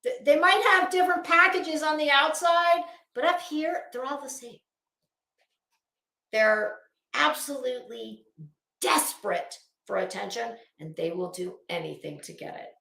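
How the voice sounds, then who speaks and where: Woman, livestream opinion host, high-ish pitch, unhurried pace, emphatic scornful delivery, unscripted. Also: creaky, sharp tone. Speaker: YouTube channel host. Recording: roomy, echoing sound.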